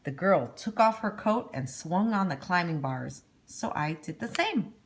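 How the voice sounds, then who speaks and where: A woman's voice: fairly low pitch, lively intonation, unhurried, slight background noise. Woman reading as a shy, lonely storybook boy. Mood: wistful, shy. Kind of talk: storybook read-aloud.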